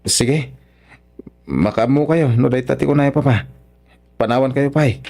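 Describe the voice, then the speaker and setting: A man's voice: medium pitch, unhurried, gravelly, a little mellow. A man with a Filipino accent acting a patient, earnest, dutiful son-in-law in a radio drama. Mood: respectful, urgent.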